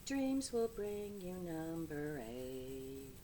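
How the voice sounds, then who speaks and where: A woman voicing a small, plucky male mouse in a storybook, soft-spoken, gentle and reassuring. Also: sits low, sing-song, very slow, slight background noise, heavily acted. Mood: soothing, singsong. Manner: soft-spoken, reassuring, singsong when lulling. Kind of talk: storybook read-aloud character.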